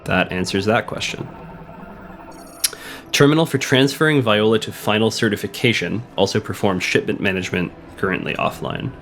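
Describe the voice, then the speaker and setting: A man with a cold, hoarse and a little sniffly, chatting casually while playing a video game, thinking aloud and reading on-screen text. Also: lowish voice, normal speed, fairly bright tone, slight background noise. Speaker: let's play host. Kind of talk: casual gameplay commentary. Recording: game music playing behind the voice.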